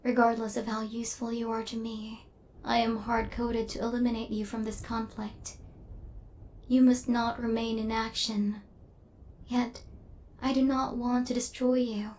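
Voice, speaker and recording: A woman voicing a coldly logical but tenderly possessive female killer robot in an ASMR roleplay, with formal, deadpan, machine-like phrasing. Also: on the high side, measured pace, lightly acted. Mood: hesitant, conflicted.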